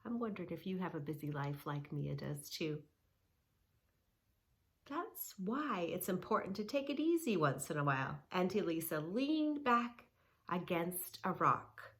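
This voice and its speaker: A gentle woman reading a picture book to children and guiding them through yoga poses. She speaks slowly in a low, soothing voice. Her instructions are calm and clear. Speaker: kids yoga teacher and reader.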